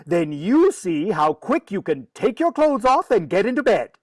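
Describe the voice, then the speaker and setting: A woman's voice: medium pitch, very animated, moderate pace, darkish tone, no echo, clean studio sound. A woman playing a firm, wry, long-suffering father in a 1940s radio comedy. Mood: exasperated, coaxing. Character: exasperated, firm father of a mischievous girl.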